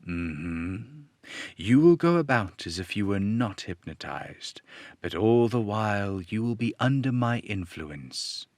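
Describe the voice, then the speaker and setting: A man playing a menacing, commanding hypnotist villain in an old-time radio serial, speaking in measured, deliberate orders. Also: fairly low pitch, animated, a little mellow, no room sound. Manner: slow, commanding, measured delivery. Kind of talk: 1930s radio serial acting.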